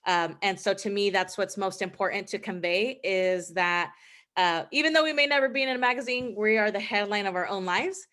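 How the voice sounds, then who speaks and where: A woman's voice: mid-range voice, moderate pace, fairly bright tone. Chatty young woman presenting from home. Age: 20s. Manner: casual, chatty, frequent ums. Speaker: guest speaker. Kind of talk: online presentation.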